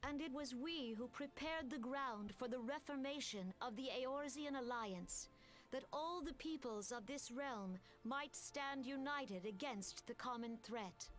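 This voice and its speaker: An actress voicing a solemn woman military commander who addresses her people in a grave, formal and measured way. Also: sits high, soft background music. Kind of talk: video game cutscene voice acting.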